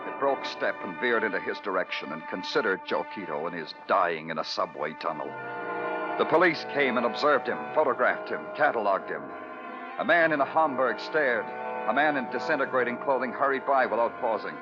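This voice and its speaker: A low-voiced, hardboiled detective played by a male radio actor, weary and brooding. He speaks in terse, moody noir style. The vintage recording is muffled, with music behind. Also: animated, a little fast, close to an everyday voice. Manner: terse, world-weary, poetic. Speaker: lead detective and narrator. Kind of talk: noir radio narration and dialogue.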